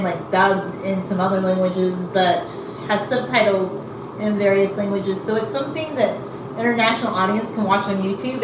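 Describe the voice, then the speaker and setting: A woman's voice: on the high side, echoey room, far from the mic, background noise. A woman chatting casually in a rambling way with many fillers while she combs out her hair. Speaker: vlogger.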